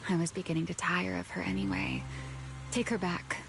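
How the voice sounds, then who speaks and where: A woman's voice: lowish voice, rough recording. A weary, languid female fugitive. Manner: slow, breathy, half-whispered. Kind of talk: video game voice acting. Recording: game cutscene with music behind.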